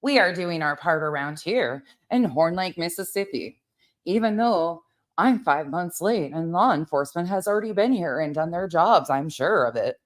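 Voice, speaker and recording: A woman with a slightly low voice delivers mocking reaction commentary. She is openly sarcastic and swears freely, with a theatrically exasperated way of talking. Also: animated, normal speed. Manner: sarcastic, profane. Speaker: commentary channel host.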